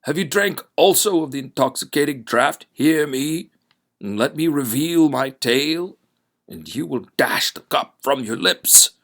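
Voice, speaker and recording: A man's voice: a little high, lively intonation, unhurried, a bit bright, voice pushed up. A man voicing a grief-broken, despairing male stranger in a theatrical Dracula-style accent, with impassioned, faltering declamation. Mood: anguished.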